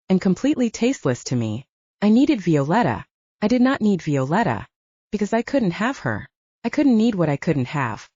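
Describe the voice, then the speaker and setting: Mellow, expressive woman narrating fiction. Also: mid-range voice, no room sound, highs cut off. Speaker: audiobook narrator. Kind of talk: scripted first-person fiction narration.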